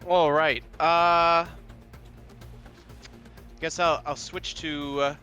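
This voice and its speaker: A man's voice: a little high, little pitch movement, very slow, pushed and bright, muffled recording, faint music behind. A man chatting casually as a player in a tabletop role-playing game.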